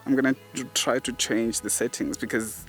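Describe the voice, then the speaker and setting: A man's voice: medium pitch, steady tone, moderate pace, fairly bright tone, breathy edge, faint music behind. A man with a South African accent talks casually as a vlogger, often repeating phrases, recorded outdoors on a camera microphone. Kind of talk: casual chatty vlog talk. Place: outdoors on a mountain walk. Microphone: camera microphone, no separate mic.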